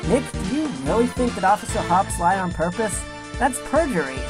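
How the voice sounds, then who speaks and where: A woman's voice: mid-range voice, animated, brisk, loud music behind the voice, natural voice. A woman playing a supportive animal assistant to a defense lawyer in an audio drama, talking in an encouraging, conversational way. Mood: doubtful. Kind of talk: fan audio drama acting.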